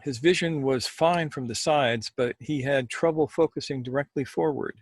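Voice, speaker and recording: A man with a mid-pitched, husky voice works as a storyteller. He speaks deliberately and leaves room for pauses, and the sound is dry and close. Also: no room sound. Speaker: storyteller and co-host. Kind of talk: measured storytelling, then casual chat.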